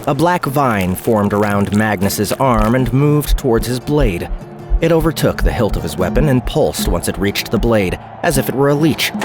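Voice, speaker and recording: A man narrating an action-packed audio drama in a measured, storytelling delivery, with music behind him. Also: on the low side. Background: music score.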